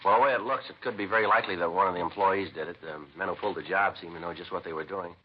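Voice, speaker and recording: An actor playing a terse, dogged police detective in a clipped, deadpan, matter-of-fact 1950s radio drama style. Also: male voice, low voice, very fast, slight background noise, lightly acted. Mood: polite, businesslike. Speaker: police detective narrator and lead. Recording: muffled vintage radio recording, music.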